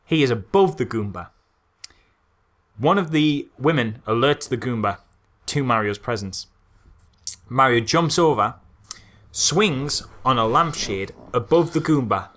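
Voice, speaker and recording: British male podcast co-host, bright forceful voice with lively swings in pitch, casual comic film critique, emphatic and exasperated. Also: a little slow. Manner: animated, exasperated, repeats phrases for emphasis.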